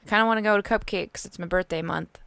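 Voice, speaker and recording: A woman's voice: on the low side, rapid-fire, vocal fry, fairly bright tone, smooth, no echo. A woman in her late twenties co-hosting a podcast with crude, sardonic, joking chat. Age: late 20s. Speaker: podcast co-host. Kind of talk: casual chat. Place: home studio room.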